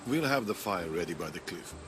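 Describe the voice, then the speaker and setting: An actor playing a steady, reassuring older Greek grandfather in a video game drama. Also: low-pitched, fairly quick, music in the background. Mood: genial.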